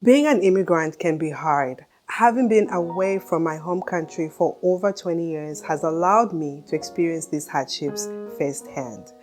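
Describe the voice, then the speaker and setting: A woman reading a scripted sponsor message in a smooth, even way. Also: sits low, moderate pace, few pauses. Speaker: podcast host reading a sponsor ad. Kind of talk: scripted ad read. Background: music.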